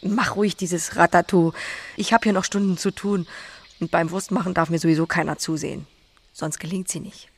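A woman acting a blunt, earthy, solitary farmer in a naturalistic radio drama, with a husky voice. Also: on the low side, steady tone, a little fast. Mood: matter-of-fact.

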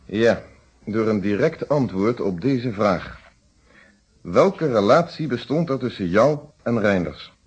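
A man's voice: fairly low pitch, lively intonation, slow, darkish tone, husky, heavily acted. An actor playing a blunt, probing police inspector in a radio play, stern and lecturing as he questions people. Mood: stern, formal. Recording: muffled vintage radio play recording.

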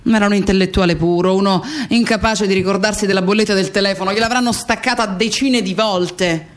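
A woman's voice: mid-range voice, steady tone, brisk, lightly acted. An actress playing a grieving, defiant friend of a murdered man in radio theatre, with reflective, emphatic storytelling. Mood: indignant, defiant. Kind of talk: dramatic radio theatre monologue.

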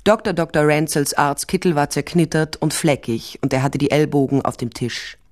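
A woman's voice: deep voice, moderate pace, darkish tone, natural voice. An actress playing a shrewd, wry investigator who narrates her case in a dry, matter-of-fact way in a radio crime drama.

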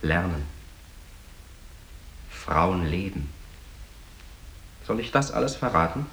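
An actor playing a quietly defiant chaplain in a measured, solemn and reflective way, in a 1950s German radio play. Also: male voice, sits low, very animated, full character voice, poor audio. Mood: solemn, visionary. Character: steadfast, quietly defiant chaplain refusing to betray fugitives. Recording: hissy 1950s radio recording.

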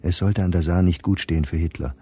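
An actor playing a weary middle-aged professor reading his private diary in a radio play, measured and reflective. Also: deep voice, fairly even intonation, dry recording, clean studio sound. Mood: sober. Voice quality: dark, husky.

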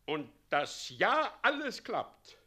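A man's voice: sits high, huge pitch swings, takes it slowly, sharp tone, heavily acted. A male actor in a German radio play, playing a pompous, gleefully cruel tyrant mayor with an imperious, mocking delivery. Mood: angry, commanding. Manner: imperious, mocking, gloating cackles.

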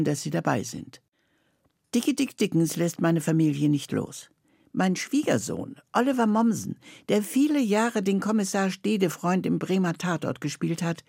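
An older woman with a husky voice, presenting a scripted introduction to a radio series. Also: lowish voice, mellow, no room sound, studio quality.

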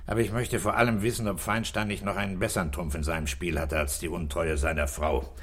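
Low-voiced, methodical police inspector. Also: male voice, flat delivery. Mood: probing. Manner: measured, probing, quietly authoritative. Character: calm, methodical male police inspector interrogating suspects. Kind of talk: German radio drama acting.

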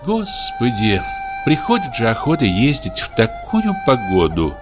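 A calm, quiet village wife, as a male reader puts her on, speaking softly and steadily in a raised voice. Faint music sits in the background. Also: mid-range voice, a little slow, pitched above the performer's own voice.

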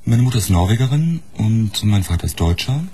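A man's voice: on the low side, sing-song, fairly quick, warm tone, breathy edge, faint music behind. A man in his thirties who grew up in Norway, a studio guest talking frankly and articulately about his life. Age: 30s. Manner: frank, articulate, self-assured. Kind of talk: candid interview talk. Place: radio studio.

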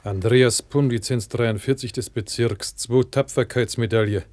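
Casting: man acting patrol police sergeant for radio play, gruffly correct, clipped officialese, dry close sound. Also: mid-range voice, fairly even intonation, measured pace, a little mellow, no room sound, natural voice. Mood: officious. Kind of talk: 1960s German radio drama acting.

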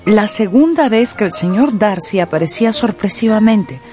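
A woman narrating a radio drama in a scripted, dramatic style, with deliberate, suspenseful phrasing. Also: mid-range voice, lively intonation, warm tone, faint music behind.

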